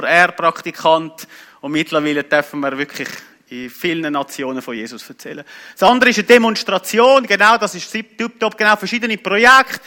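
A man with a Swiss German accent gives an informal church talk as a guest preacher, colloquial and animated, mixing in English words. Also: high voice.

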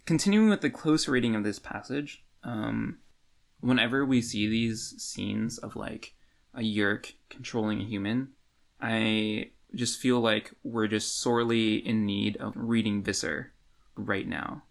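A man co-hosting a podcast, talking casually and haltingly in a book discussion. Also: on the low side, gravelly. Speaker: podcast co-host.

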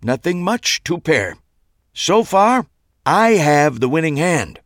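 Guy doing a hammy magician showman, higher voice, slow and dramatic, dry sound. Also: very animated, fairly bright tone, no echo, exaggerated voice. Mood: theatrical. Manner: dramatic, drawn-out announcing. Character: theatrical male stage magician, showman.

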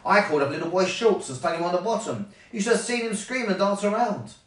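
Man doing a storybook wasp lady, spiteful, taunting, mocking, animated raised pitch, echoey room. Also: quick pace, far from the mic. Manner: mocking, gloating. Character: gleefully spiteful female wasp, taunting bully.

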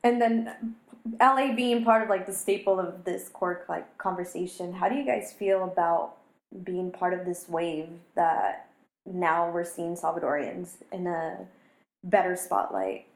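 A woman co-hosting an interview, asking questions in a fast, chatty, affirming way. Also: on the low side, creaky, echoey room. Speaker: co-host. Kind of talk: casual interview talk.

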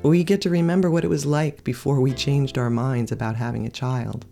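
A middle-aged woman hosts her podcast in scripted narration. Her voice is mellow and unhurried in tone, reflective and measured, and she speaks with candor. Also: a bass voice, fairly even intonation, vocal fry, faint music behind. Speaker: podcast host.

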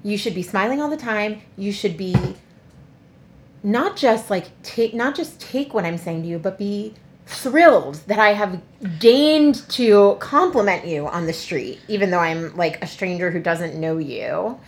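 A woman co-hosting a podcast in casual chat, animated, swearing and mocking people in quoted voices. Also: medium pitch, unhurried. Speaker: podcast co-host.

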